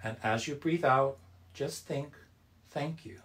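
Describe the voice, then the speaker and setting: A man with a medium-pitched voice leads a Buddhist service. His delivery is reverent and measured, suited to recitation and guided meditation. He phrases things deliberately, with a solemn tone. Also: fairly bright tone. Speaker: Buddhist temple service leader.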